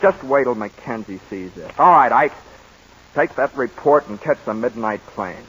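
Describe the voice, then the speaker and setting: An actor playing an ambitious young pollster and coach, talking in a bossy, joking way on a 1940s radio play. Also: male voice, medium pitch, lively intonation, a bit bright, husky. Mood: breezy. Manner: bossy, fast-talking, jokey. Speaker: lead character. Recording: muffled 1940s radio broadcast.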